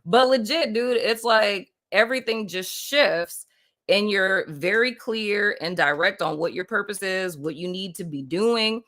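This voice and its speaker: A woman from Puerto Rico hosts a relaxed live stream with a bright, forward tone. She rambles through her points, leaning on fillers and checking in with listeners. Her pitch sits mid-range. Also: measured pace. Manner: rambling, full of "like" and "you know what I mean", laughs often. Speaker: livestream host. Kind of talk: casual spiritual chat on a live stream.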